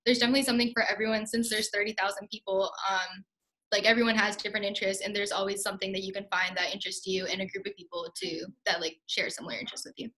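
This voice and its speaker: A woman's voice: mid-range voice, level tone, very fast, pushed and bright, low-quality recording. A young woman on a student panel, answering in a casual way with many likes and ums. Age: young adult.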